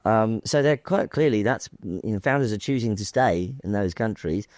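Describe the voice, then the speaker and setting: A man's voice: lowish voice, normal speed, darkish tone, no echo, studio quality. A man moderating a conference panel, asking questions in a hesitant way with many "um" and "you know". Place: conference stage.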